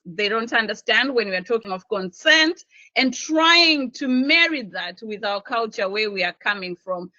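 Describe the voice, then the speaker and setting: Bright-voiced woman on a call. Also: medium pitch, no room sound. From Zimbabwe. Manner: earnest, personal storytelling with restarts. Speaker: panelist, social worker. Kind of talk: panel discussion on a video call. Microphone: video call. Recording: dull, dry video call audio.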